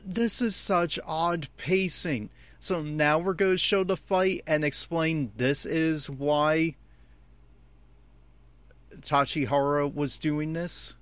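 A man giving opinionated, complaining episode commentary as a host, with emphatic, halting phrasing. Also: fairly high pitch, steady tone, fairly bright tone, dry recording. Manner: exasperated, complaining. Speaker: fan podcast host.

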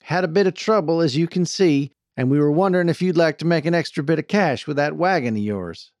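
A man's voice: a little high, fairly quick, darkish tone, no echo, studio quality, pitched above the performer's own voice, close to an everyday voice. A man voicing a brash, foul-mouthed frontier woman who bosses a wagon train with a bossy drawl in a Western story. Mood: brisk, blunt. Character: brash, foul-mouthed cowgirl wagon boss, hot-tempered but soft-hearted.

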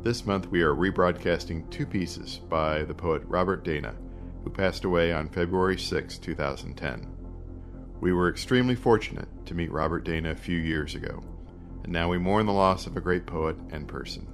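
A man's voice: low-pitched, moderate pace, music in the background. A man reading a scripted podcast announcement as the host.

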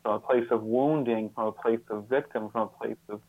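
A man's voice: mid-range voice, fairly even intonation, slight background noise. Guy around 50, earnest interview guest, stop-start reflective talking, thin phone-line audio. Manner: halting, repeats words, thoughtful and earnest.

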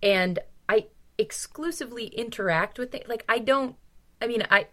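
A woman's voice: a little high, normal speed, a bit bright. A woman co-hosting a casual book discussion podcast, talking in an informal, emphatic way peppered with 'like'. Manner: informal, emphatic, frequent 'like' and mild swearing. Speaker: podcast co-host.

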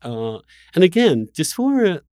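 A man hosting a spirited interview debate, halting and insistent, often repeating words mid-sentence. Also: fairly high pitch, very animated, darkish tone, dry recording, studio quality. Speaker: interview host.

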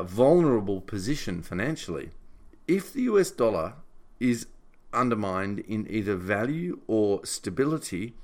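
A man giving informal solo political commentary in a deliberate, emphatic and opinionated way. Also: fairly low pitch. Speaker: podcast host and writer summarizing own article.

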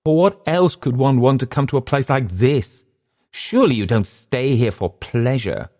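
A nervous young Englishman, acted out by a male narrator, pitched up high and eager. He is naive and apologetic, stumbling over his words in an English accent, like a character in an audiobook adventure. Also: lively intonation, moderate pace, darkish tone, close to an everyday voice. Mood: indignant. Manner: hesitant, stammering, apologetic.

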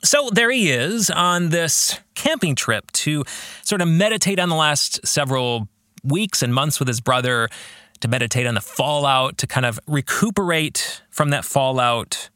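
A man's voice: fairly high pitch, lively intonation, unhurried, pushed and bright, no room sound, studio quality. A man hosting a podcast, giving reflective religious commentary on his book in an earnest, preacherly way with emphatic phrasing. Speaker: podcast host and author discussing his own novel.

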